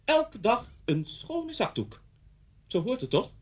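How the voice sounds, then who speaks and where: Officious policeman, thirties, Netherlands Dutch accent, formal, commanding, lively intonation, radio drama. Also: very high voice, slow pace, highs cut off, heavily acted. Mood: menacing. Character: officious, menacing new neighbourhood policeman, a former pupil. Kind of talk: 1970s radio thriller acting. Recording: slightly rough 1970s radio recording.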